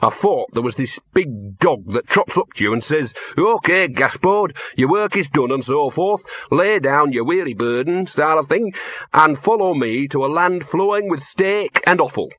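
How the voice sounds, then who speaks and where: A scruffy little talking dog from a comic fantasy storybook, acted out by a man. He grumbles in rough, colloquial speech, wry and plaintive, with the pitch lifted a little and a lively swing. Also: moderate pace, highs cut off. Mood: hopeful.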